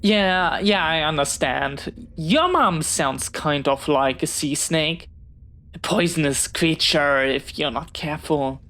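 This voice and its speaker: A woman plays a young pirate captain's child in an audio roleplay, with a lowish voice and an unhurried pace. The character is bookish and eager to be friends, chatting in careful, well-spoken phrases with a touch of hesitance. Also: animated, a bit bright, full character voice. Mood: curious, friendly. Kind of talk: one-sided audio roleplay acting.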